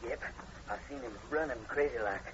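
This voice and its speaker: A man's voice: mid-range voice, fairly quick, close to an everyday voice. An actor playing a superstitious, terrified country man with agitated, folksy rural speech in an old-time radio drama. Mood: awed, fearful. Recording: muffled, very noisy old radio recording.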